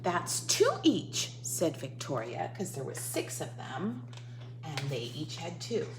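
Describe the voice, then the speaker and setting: A woman's voice: sits low, very animated, a little slow. A woman teacher reading a picture book to young children, breaking off to explain and coax answers with questions and repetition, on a noisy recording. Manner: instructive, coaxing the listener with questions and repetition.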